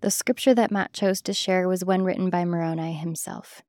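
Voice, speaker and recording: A woman's voice: lowish voice, fairly even intonation, moderate pace, darkish tone, no echo, soft background music. A woman hosting and narrating a podcast from a script, introducing her interview guest. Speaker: host, interviewer.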